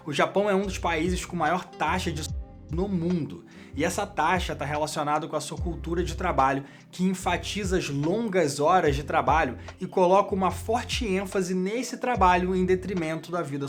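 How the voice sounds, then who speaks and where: A man with a Brazilian Portuguese accent, hosting a career channel and explaining to camera, speaking straight to the viewer. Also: high voice. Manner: direct, addresses the viewer as "you".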